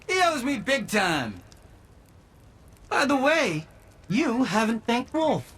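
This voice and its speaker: A woman's voice: fairly high pitch, big pitch swings, slow pace, slightly rough, heavily acted. A woman playing a bratty, bossy, teasing youngster in a video game, with a cheeky, exaggerated way of talking. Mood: smug teasing. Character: bratty, bossy, teasing youngster who nags a grumpy warlord. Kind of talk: animated video game voice acting.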